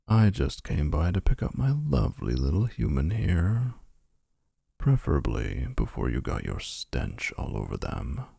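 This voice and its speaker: Man doing a male vampire suitor, gravelly, dark tone, dry sarcastic jabs, roleplay audio. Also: very deep voice, huge pitch swings, a little slow, dry recording, exaggerated voice. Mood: mocking. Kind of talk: boyfriend ASMR roleplay.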